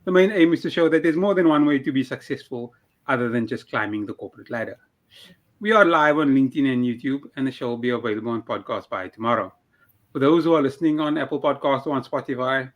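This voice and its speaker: A man's voice: medium pitch, a little fast. Upbeat young man hosting a podcast. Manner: upbeat, scripted intro then relaxed questioning. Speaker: podcast host.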